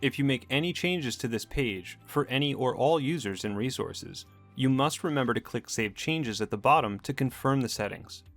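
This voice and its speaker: A man's voice: mid-range voice, lively intonation, a bit bright. Man narrating a corporate tutorial over music. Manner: measured, matter-of-fact corporate delivery. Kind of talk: scripted instructional narration. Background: background music.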